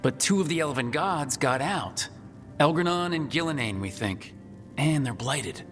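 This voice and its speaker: Cheeky young fantasy rebel hero. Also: male voice, on the high side, animated, measured pace, fairly bright tone, faint music behind. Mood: explanatory. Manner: flippant, conversational. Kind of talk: fantasy game voice acting.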